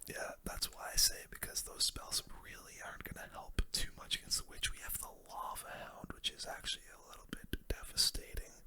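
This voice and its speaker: Whispering ASMR gaming host. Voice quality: soft unvoiced whisper. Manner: casual, hushed play-by-play. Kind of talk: whispered ASMR game commentary.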